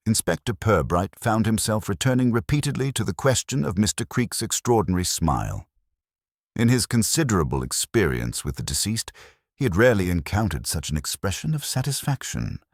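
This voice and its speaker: A man reading a scripted period mystery story in a measured, wry and formal storytelling manner. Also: low voice, dry recording, clean studio sound. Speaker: narrator.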